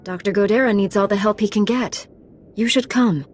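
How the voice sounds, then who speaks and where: A woman playing a calm, resolute heroic knight in a video game, with a measured, matter-of-fact delivery. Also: medium pitch, close to an everyday voice.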